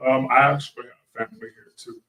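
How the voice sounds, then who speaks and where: A man's voice: medium pitch, fairly even intonation, fast talker, bright, forceful tone, far from the mic. A man from Arkansas answering interview questions casually as a musician guest, polite, understated and a little hesitant. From Arkansas, southern United States. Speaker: guest musician, gospel singer and organist.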